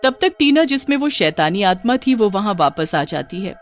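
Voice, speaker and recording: A woman's voice: mid-range voice, very animated, moderate pace, faint music behind. A woman narrating a scripted children's horror story.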